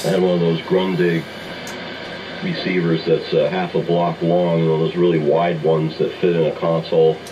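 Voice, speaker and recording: Older american ham guy rambling on am radio, really deep voice, lots of noise, kinda hesitant. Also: level tone, normal speed. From United States. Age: middle-aged or older. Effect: AM radio transmission. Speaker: amateur radio operator. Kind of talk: casual ham radio chat. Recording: muffled, noisy AM shortwave radio signal. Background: radio static noise.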